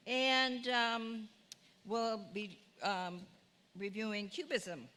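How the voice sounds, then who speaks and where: A woman's voice: on the high side, drawn-out words, bright, forceful tone. A woman instructor previewing her course to an audience, chatty and self-deprecating with many fillers. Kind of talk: class preview talk. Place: lecture hall, in front of audience. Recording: long hall reverb, faint room noise.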